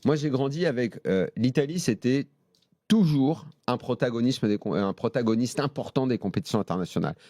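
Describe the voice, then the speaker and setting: A man's voice: medium pitch, expressive, fairly quick, warm tone, dry recording. A man debating football as a studio pundit, emphatic and often restarting mid-sentence. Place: radio and TV studio.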